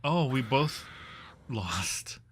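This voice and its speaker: A man's voice: mid-range voice, expressive, extremely slow, fairly bright tone, slight background noise. A man hosting a casual gaming livestream, chatting and reacting as he plays along with viewers. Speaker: livestream host. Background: game music.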